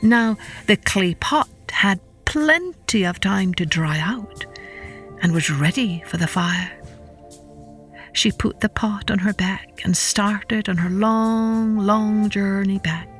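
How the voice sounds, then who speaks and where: A woman telling a scripted children's story as a podcast storyteller, dramatic and deliberate, repeating words for effect. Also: mid-range voice, a bit bright, faint music behind.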